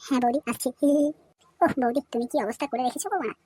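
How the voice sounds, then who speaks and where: A woman's voice: high-pitched, fast, warm tone, husky. A woman voicing a crude, cheeky and cowardly young village man in a comedy cartoon, full of slang. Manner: crude slang, pleading when caught. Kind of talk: Bengali comedy cartoon dubbing. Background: background music.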